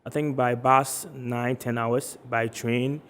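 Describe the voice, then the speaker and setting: A young male student with a Ghanaian accent answering questions as a guest. His voice sits at a middle pitch and he pauses over his wording. There is a long reverb around the voice. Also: steady tone, fairly bright tone. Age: 20s. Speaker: interview guest, medical student leader. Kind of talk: TV interview answers. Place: TV studio.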